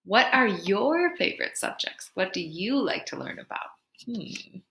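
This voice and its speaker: Slow, gentle young woman teacher reading aloud. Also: medium pitch, sing-song, gravelly, noisy background. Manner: gentle, encouraging, asks the listener questions. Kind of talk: children's read-aloud lesson. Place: small room indoors. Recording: echoey room.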